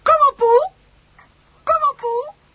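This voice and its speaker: A woman's voice: very high-pitched, slow pace, a little hiss or hum. An actress playing a bossy, exasperated mother in a comic radio drama, scolding with emphatic delivery. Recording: muffled old radio recording.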